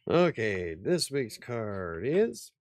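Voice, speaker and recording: A man hosting a casual after-hours chat show, rambling, laughing often and swearing now and then, with a slightly raspy voice. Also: mid-range voice, very animated, very slow, dry recording, studio quality. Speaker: host.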